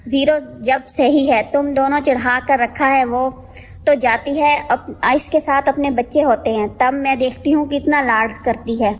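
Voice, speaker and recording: A woman playing a jealous elder sister-in-law and mother in an animated story, sarcastic, scolding and accusatory. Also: high-pitched, unhurried, slight background noise. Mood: scolding, bitter. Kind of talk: Hindi animated moral story dialogue.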